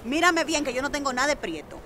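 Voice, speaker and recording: A woman's voice: high-pitched, lively intonation, bright, forceful tone. A woman playing a stubborn, dismissive mother. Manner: brisk, dismissive, quick retorts. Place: outdoors on city front steps. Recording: outdoor street noise. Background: street traffic.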